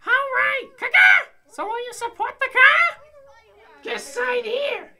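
A very high, squawking bird creature from a comedy radio play. The voice is shrill and bright, swooping all over as it chirps out a frantic, excitable sales pitch. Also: female voice, takes it slowly, gravelly, heavily acted. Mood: pushy, chirpy. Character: excitable squawking bird person, car promoter. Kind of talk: comedic audio drama acting.

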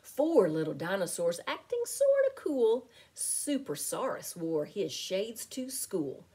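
A middle-aged woman reads a picture book aloud for children at an unhurried pace. Her voice is soft-edged and mellow with a husky grain, and her pitch swings widely through the rhymes in an expressive, deliberate delivery. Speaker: teacher reading aloud to children. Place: small home room with window blinds.

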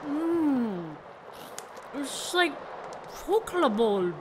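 High-voiced, bubbly young woman. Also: big pitch swings, drawn-out words, noisy background, heavily acted. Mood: friendly. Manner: eager, chatty. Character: bubbly, naive, friendly young female camper. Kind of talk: radio drama acting.

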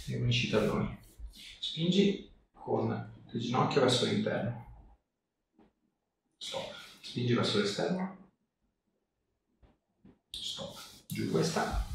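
A man working as a chiropractor, giving a patient brief, reassuring instructions in a creaky voice. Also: mid-range voice. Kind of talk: giving short hands-on instructions to a patient during an exam. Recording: echoey room, distant mic, background noise.

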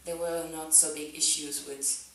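A woman's voice: low voice, little pitch movement, a little slow, a bit bright, a little hiss or hum. A young woman from Germany, a non-native English speaker, talking thoughtfully about her art on stage at a festival, with lots of "like" and "um" fillers. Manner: thoughtful, many "like" and "um" fillers, laughs often. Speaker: festival artist guest. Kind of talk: artist's talk, casual reflection. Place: theatre stage on a sofa before an audience. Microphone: handheld mic. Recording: very echoey hall, speaker far from the camera.

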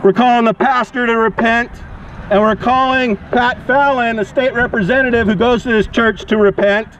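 A man's voice: very high-pitched, steady tone, normal speed, fairly bright tone. A man street preaching outdoors, declaiming accusingly in short, projected phrases through a megaphone. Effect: amplified through a megaphone. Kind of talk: open-air protest preaching. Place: outdoors by a walkway and car park. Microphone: action camera beside the megaphone. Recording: dull megaphone sound, outdoor noise, cars.

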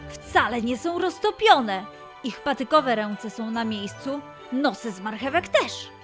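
A woman narrating a scripted children's story in an expressive way, with dramatic pauses and emphasis. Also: on the high side, faint music behind.

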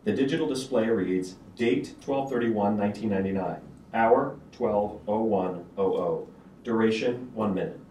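A man's voice: fairly low pitch, moderate pace, mellow. Guy reading stage directions at a script reading, roomy sound, some noise. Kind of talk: screenplay table read, scripted narration. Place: stage of a small auditorium. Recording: roomy auditorium, distant mic, background noise.

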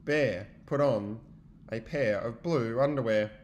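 A man giving an expressive, child-directed storybook read-aloud, like a teacher, with chatty asides. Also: mid-range voice, slow pace, dull audio, slight background noise.

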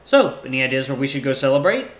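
A man's voice: medium pitch, sing-song, fast, roomy sound, natural voice. A man voicing an easygoing young male hero in a story, casual and friendly with a wry edge.

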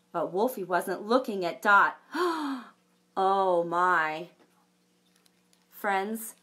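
A woman in her thirties reading a picture book aloud to children, expressive, with chatty asides to young listeners. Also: mid-range voice. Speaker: library story time presenter.

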